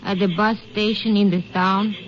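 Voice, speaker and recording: An actress playing an evasive old Mexican woman who peddles soda pop, speaking halting, accented broken English in a radio western. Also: medium pitch, level tone, close to an everyday voice. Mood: guarded, evasive. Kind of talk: 1950s radio western acting. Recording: muffled old radio broadcast, music.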